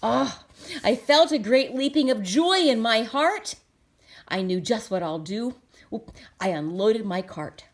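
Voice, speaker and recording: A woman reads a children's storybook aloud at an unhurried pace. Her fairly high voice moves expressively up and down, with little explanatory asides and questions for young listeners. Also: expressive. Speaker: storybook reader.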